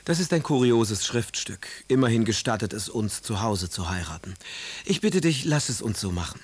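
An actor playing a moody, sardonic aristocratic poet in a German radio play, speaking letters in wry, eloquent phrases. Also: male voice, fairly low pitch, lively intonation, normal speed, breathy edge. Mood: doubting, impatient.

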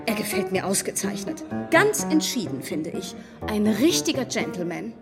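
A woman's voice: medium pitch, sing-song, normal speed, over music. An actress playing a self-important married society woman in a radio drama, effusive and affected, with emphatic delivery. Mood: self-satisfied.

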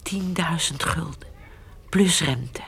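An actress playing a weary, stubborn old farm mother in a radio play, halting and often breathy. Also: sits low, a bit bright, a little hiss or hum, heavily acted. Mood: hushed, insistent. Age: older. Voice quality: creaky, often breathy, near-whispering. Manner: slow, halting, insistent. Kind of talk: Dutch radio drama acting.

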